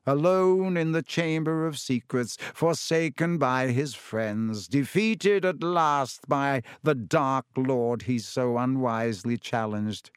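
A man acts out a young dark wizard villain from a storybook, cold and sinister. He gloats and taunts, stretching out his menace in slow phrases. The sound is dry and close, with no room around it. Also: medium pitch, clean tone, no echo, lightly acted. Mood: gloating, unhurried. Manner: taunting, menacing, drawn-out delivery.

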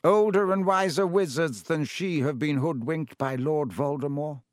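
A man voicing a kindly, wise old male wizard headmaster, gentle and measured. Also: fairly high pitch, no echo, studio quality, pitched above the performer's own voice, lightly acted.

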